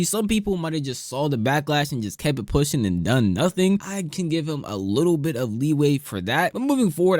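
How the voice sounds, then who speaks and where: Casting: male video commentator, pitch a little above average, measured critical delivery, very dry recording. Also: darkish tone, dry recording. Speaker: commentary YouTuber. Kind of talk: opinion commentary.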